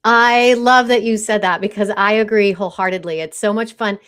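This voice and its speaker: A woman's voice: mid-range voice, fairly even intonation, normal speed, fairly bright tone, smooth. A woman hosting a podcast, chatting casually and enthusiastically with her guest. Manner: enthusiastic, informal. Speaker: podcast host, speaker. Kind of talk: casual interview chat.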